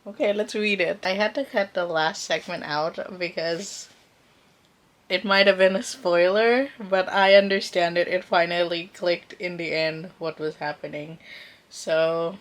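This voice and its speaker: A woman speaks in a casual vlog style with a voice pitched a little below average. She is chatty and informal, thinking aloud as she shares reactions to her reading. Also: steady tone, a little slow. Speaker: book vlogger.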